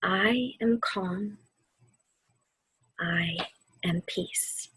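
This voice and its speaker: A woman with a soft, breathy voice gently guiding children through a mindfulness meditation, soothing and deliberate. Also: mid-range voice, no room sound, rough recording. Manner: soothing, gentle, deliberate, often dropping to a near-whisper. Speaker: mindfulness instructor and host of a weekly video series.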